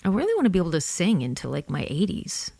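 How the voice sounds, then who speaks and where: A woman in her forties, chatty and animated as she hosts an easygoing interview. Her voice sits a touch low for a woman, and the recording is close and dry, with no room sound around her. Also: fast talker, gravelly, slightly rough, no room sound. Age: 40s. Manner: chatty, animated, swears casually. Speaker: podcast host and singer. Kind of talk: casual interview chat.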